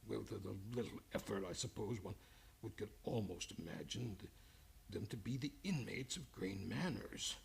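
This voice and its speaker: Man acting creaky, stammering, nervous doctor. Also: lowish voice, expressive, moderate pace, full character voice. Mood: uneasy, patronizing. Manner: stammering, hesitant, fussy. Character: nervous, genteel male senior psychiatrist, quietly guilty, condescending. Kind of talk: old-time radio drama acting. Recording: studio radio play with music behind.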